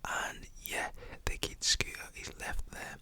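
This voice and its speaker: Whispering British storyteller, casual and slangy. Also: takes it slowly. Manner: informal, slangy, acts out quoted shouts in a whisper.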